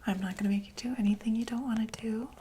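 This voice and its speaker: A woman whispering softly and breathily in a gentle, reassuring ASMR medical roleplay, speaking close to the microphone. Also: a little high, steady tone, fast talker, a little hiss or hum. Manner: gentle, reassuring, hesitant check-up patter. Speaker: ASMR roleplayer playing a doctor for monsters.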